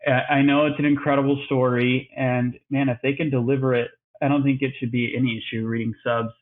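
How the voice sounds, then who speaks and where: A man's voice: mid-range voice, flat delivery, a little fast. Laid-back man on a tinny line. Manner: easygoing, rambling, laughs often. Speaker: podcast co-host. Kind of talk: casual podcast chat. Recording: phone-like lo-fi line.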